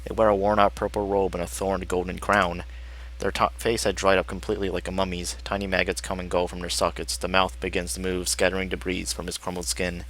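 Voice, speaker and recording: A man's voice: sits low, completely flat delivery, fairly quick, a bit bright, slight background noise, poor audio. A man live streaming a game, reading its text aloud and commenting as he plays. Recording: music behind the voice. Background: game music.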